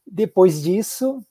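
A man's voice: high-pitched, warm tone, slightly rough. A man with a southern Brazilian accent from Curitiba, speaking reflectively as an interview guest and repeating words for emphasis. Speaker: podcast guest, healthcare executive.

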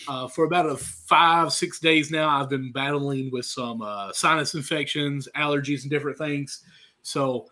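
A man hosting a casual sports podcast over a video call, sounding hoarse from a sinus infection, chatty and apologetic. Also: on the high side, moderate pace, sharp tone. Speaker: podcast host.

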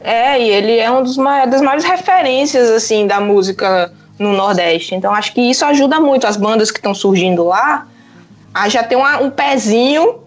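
A woman's voice: fairly high pitch, expressive, normal speed. A woman with a Northeastern Brazilian accent talks casually about music as a podcast host, chatty and enthusiastic. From Pernambuco, Northeast Brazil.

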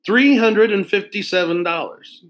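A man voicing a fussy, pompous adult pen salesman who speaks slowly and precisely, like a sales pitch. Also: a bit bright.

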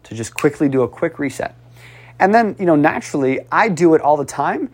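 Animated, sighing male speech coach. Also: on the high side, fairly quick, husky. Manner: animated, repetitive, urging, with audible sighs. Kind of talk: casual coaching talk.

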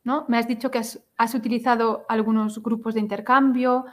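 Woman host, upbeat interviewer, fairly high voice, lots of affirmations. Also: steady tone, a little fast. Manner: encouraging, frequent affirmations, asks follow-ups. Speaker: podcast host. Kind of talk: casual interview.

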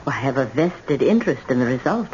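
A low-voiced old grandmother in a vintage radio play. She is proud, bitter and weary, with a stiff, curt dignity, heard through a muffled recording with faint hiss. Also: sing-song, fast talker, darkish tone. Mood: guarded, proud. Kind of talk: old-time radio drama acting.

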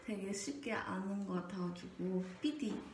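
A young woman co-hosting a casual show, soft-spoken and unhurried, sometimes dropping to a near whisper. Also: mid-range voice, fairly even intonation. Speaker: radio show co-host. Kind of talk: casual chat. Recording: echoey room with faint background noise.

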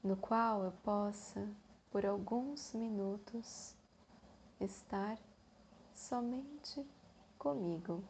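A woman with a Brazilian Portuguese accent leads a guided meditation in a soothing manner, leaving long pauses between phrases. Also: medium pitch, a little mellow, a little hiss or hum.

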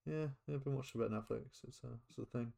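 Male, laid-back podcast guest, dry wit, mid-pitched everyday voice. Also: rapid-fire, gravelly, dark tone. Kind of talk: casual podcast chat.

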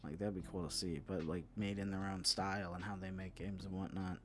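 Low-voiced man chatting about games. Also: level tone, brisk, a little hiss or hum. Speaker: co-host of a gaming news podcast. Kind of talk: casual chat about gaming news.

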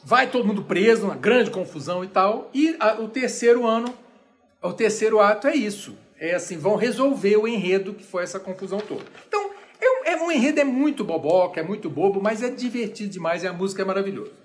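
Animated, high-voiced Brazilian man lecturing. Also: fast. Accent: Brazilian Portuguese. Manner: animated, chatty, many restarts and asides. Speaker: lecturer on opera. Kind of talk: informal explanatory lecture.

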